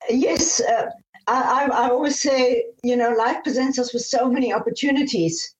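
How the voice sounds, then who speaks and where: A woman's voice: fairly high pitch, normal speed, reverberant, poor audio. An older woman from Namibia telling her life story as an interview guest, enthusiastic and often restarting her phrases. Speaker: guest, former airline pilot.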